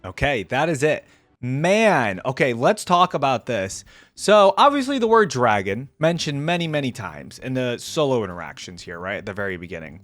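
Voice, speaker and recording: Male reaction host, animated and casual, excited commentary, mid pitch. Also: normal speed, no room sound.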